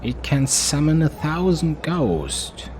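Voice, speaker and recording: A man's voice: fairly high pitch, very animated, slow, darkish tone, slight background noise, voice pushed up. A man putting on a sly, wheedling hooded trader. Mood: boastful. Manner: boastful, wheedling. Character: sly giggling hooded male relic trader.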